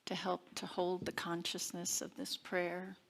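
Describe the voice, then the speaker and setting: A woman minister giving a sermon and guided prayer in a deliberate, reverent manner with long pauses. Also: lowish voice, monotone, a bit bright. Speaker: minister leading a service. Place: church service.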